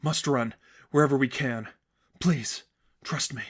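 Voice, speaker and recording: A man voicing a calm, resolute but exhausted young woman vigilante, speaking in clipped, restrained phrases. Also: mid-range voice, measured pace, lightly acted. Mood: urgent, resolute.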